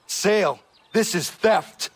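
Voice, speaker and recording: An actor playing a righteous, impassioned young warrior in a game cutscene, with a formal and intense delivery. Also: male voice, sits high, slow pace. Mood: outraged. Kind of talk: dramatic game cutscene acting.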